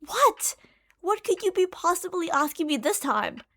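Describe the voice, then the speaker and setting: High-pitched, impatient mad scientist acted by a woman. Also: sing-song, a little slow, a bit bright, full character voice. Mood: brisk, evasive. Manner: theatrical, condescending, exasperated. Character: impatient, obsessive female mad scientist, secretive and scornful. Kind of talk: ASMR roleplay acting.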